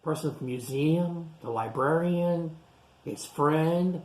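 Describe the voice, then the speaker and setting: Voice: medium pitch, slow, darkish tone, poor audio. A storytime reader going through a picture book aloud for young children, with simple explanatory asides.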